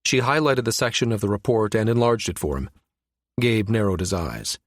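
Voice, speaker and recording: A man's voice: fairly low pitch, animated, normal speed, no room sound, clean studio sound. A man reading a science fiction audiobook as scripted narration.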